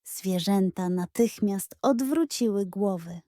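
Slow, mellow woman telling a children's story. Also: medium pitch, no room sound, clean studio sound. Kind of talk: expressive scripted children's story narration.

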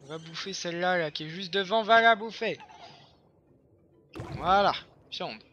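Hoarse young man narrating gameplay. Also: high-pitched, measured pace, highs cut off, a little hiss or hum. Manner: chatty, excitable, full of fillers and repeats. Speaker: gameplay commentator. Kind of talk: casual let's-play commentary.

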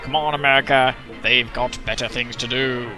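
A man's voice: medium pitch, fairly even intonation, moderate pace, natural voice. A man playing a pompous, alarmist civil-defense announcer narrating a mock-serious educational film. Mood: stern, instructive. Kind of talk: parody of a mid-century educational film narration. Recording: narration over background music. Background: music.

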